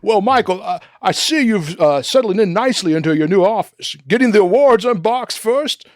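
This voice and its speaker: A man acting as an earnest, decent and patient deputy executive in a comic radio drama, polite and gently corrective. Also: high-pitched, expressive, moderate pace, dry recording, clean studio sound.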